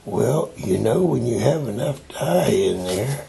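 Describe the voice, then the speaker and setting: An older man with a raspy voice, demonstrating a craft step by step while he works. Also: mid-range voice, expressive, mellow. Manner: unhurried, explaining while he works. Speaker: tie-dye hobbyist demonstrating. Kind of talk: slow hands-on craft demonstration.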